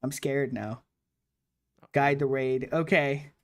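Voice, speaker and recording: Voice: medium pitch, steady tone, slow pace, no echo, studio quality. A livestream host chatting casually with a guest, playful and teasing, laughing often. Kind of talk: casual stream chat with a guest.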